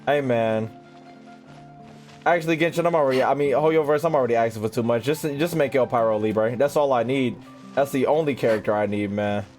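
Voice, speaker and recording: A man's voice: mid-range voice, a little fast, darkish tone. A young man doing casual livestream reactions to a game, joking in slang and repeating phrases for effect. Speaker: game streamer. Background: game music.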